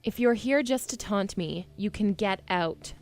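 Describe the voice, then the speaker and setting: A woman's voice: medium pitch, measured pace, soft background music, lightly acted. A woman playing a defiant, distressed young captive princess in an audio drama, emotional and indignant. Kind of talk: fan audio drama acting.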